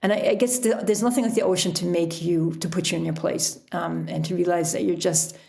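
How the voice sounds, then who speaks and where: A woman's voice: lowish voice, steady tone, brisk, gravelly, a little mellow. A woman talking casually and reflectively as a guest in an interview. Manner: reflective, chuckles often while talking. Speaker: podcast guest, author and sailor.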